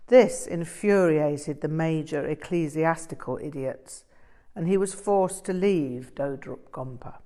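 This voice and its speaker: A woman reader voices an older Tibetan Buddhist master in an audiobook, a blunt, teasing storyteller. The delivery is slow and low, with deliberate weight on the key words. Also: darkish tone. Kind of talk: audiobook character reading.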